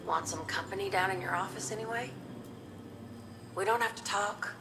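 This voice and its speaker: A woman playing a kind, plainspoken friend in a video game drama, gentle, folksy and a little hesitant. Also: mid-range voice, normal speed, gravelly, natural voice. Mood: gentle, consoling. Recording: noisy ambient background.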